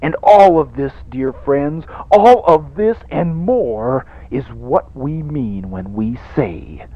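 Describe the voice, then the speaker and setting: A man playing a theatrical, pompous evangelist reverend who preaches a parody radio sermon in oratorical swells and hushed drops. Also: fairly high pitch, expressive, slow pace, dry recording. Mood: rousing, fervent. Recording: dull lo-fi 1980s radio recording.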